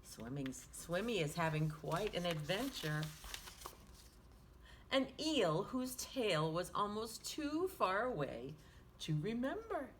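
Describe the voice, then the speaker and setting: A woman hosting children's storytime, reading a picture book aloud in an animated, sing-song manner. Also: medium pitch, slow pace, slight background noise. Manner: animated, sing-song, with hushed asides to listeners.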